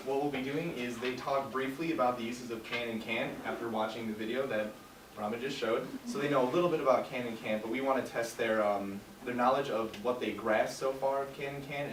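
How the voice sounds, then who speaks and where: A young man giving a class presentation, explaining casually with many fillers, recorded from the back of a large classroom. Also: medium pitch. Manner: relaxed, filler-heavy explaining. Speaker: student presenter. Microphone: far camera. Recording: echoey room from far off, noisy.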